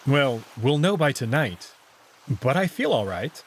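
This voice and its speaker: A man's voice: medium pitch, very animated, measured pace, a little hiss or hum. A man reading scripted first-person story narration from a visual novel, with acted dialogue. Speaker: narrator and voice reader.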